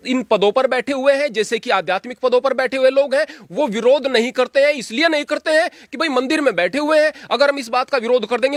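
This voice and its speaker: A man's voice: high voice, a bit bright, no room sound, clean studio sound. A man giving his opinions in an interview like a preacher, emphatic and exhorting, repeating phrases for stress.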